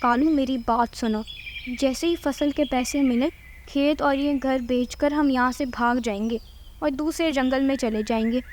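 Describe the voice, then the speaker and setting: A woman's voice: high voice, fairly even intonation, unhurried, darkish tone, a little hiss or hum. A woman voicing a boastful, spiteful female crow in a cartoon story. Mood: scheming, annoyed. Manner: boastful, mocking. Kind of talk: Hindi cartoon voice acting.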